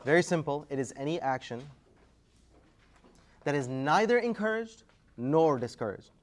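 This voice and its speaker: A man's voice: on the high side, sing-song, normal speed, slight background noise. A man teaching an Islamic studies class, lecturing casually and quizzing students, often pushing his voice up to prompt answers. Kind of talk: classroom lecture with call-and-response.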